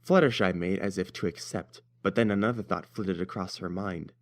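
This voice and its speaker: A man's voice: low voice, normal speed. A man narrating a scripted story as its reader.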